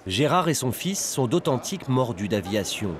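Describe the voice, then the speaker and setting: A man's voice: mid-range voice, big pitch swings, normal speed. A man reading scripted documentary narration as a voice-over. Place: voice-over over location sound. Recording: faint background noise under voice-over.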